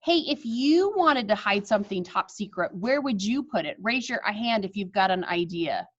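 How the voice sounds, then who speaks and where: A woman teacher reading a storybook aloud to children, expressive and encouraging, over a dull video call. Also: mid-range voice, normal speed. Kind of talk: storybook read-aloud with chat to pupils. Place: online video class.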